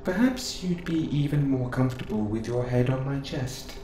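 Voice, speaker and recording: A man playing a gentle, curious robot assistant who speaks in formal, precise phrases, close and intimate in an audio roleplay. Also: mid-range voice, lively intonation, normal speed, natural voice. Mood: gently curious. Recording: roomy sound with clear background noise.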